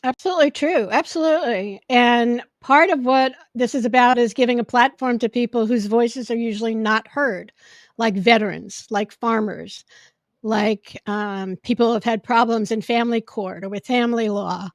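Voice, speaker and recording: Woman podcast host, fairly high voice, loud and projecting, dry close sound. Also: normal speed, dry recording. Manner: loud, projecting, gets excited. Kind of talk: casual podcast chat. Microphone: podcast microphone.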